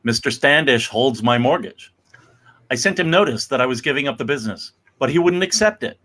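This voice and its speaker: A man playing an earnest, grateful middle-aged shopkeeper and father in a measured dramatic reading of a romance comic. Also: medium pitch, animated, fairly bright tone.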